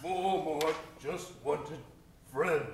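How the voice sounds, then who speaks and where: A man's voice: on the high side, noisy background. A man playing a lonely, noble, misshapen giant beast who speaks simply, in old-time radio serial style. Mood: earnest, wistful. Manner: slow simple speech, speaks of himself by name. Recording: very echoey stage, distant mic, faint music.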